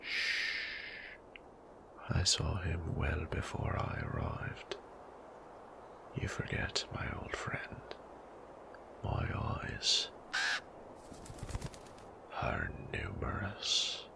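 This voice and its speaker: A man playing a suave, menacing old vampire in an audio drama, silky and formal, with a breathy, gravelly voice. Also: a bass voice, huge pitch swings, whispered, heavily acted. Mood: sly, menacing. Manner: silky, formal, theatrically unhurried. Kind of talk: scripted audio roleplay acting.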